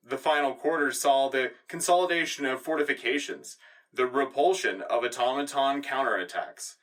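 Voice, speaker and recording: A man playing a solemn, patriotic news anchor in a scripted sci-fi radio drama, with measured, grandiose phrasing. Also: mid-range voice, pushed and bright, recorded from a distance. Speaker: radio show host. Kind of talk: formal scripted news broadcast.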